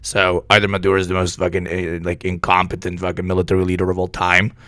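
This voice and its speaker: Sick streamer guy, voice shot and hoarse, swears a lot, very low and monotone. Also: quick pace, dry recording. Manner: profane, blunt, rambling. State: hoarse from illness. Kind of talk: livestream news commentary.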